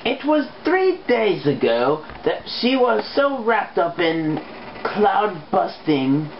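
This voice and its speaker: Female amateur story reader, animated intonation, unhurried, on a muffled home recording. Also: medium pitch, slight background noise. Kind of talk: amateur fan fiction narration.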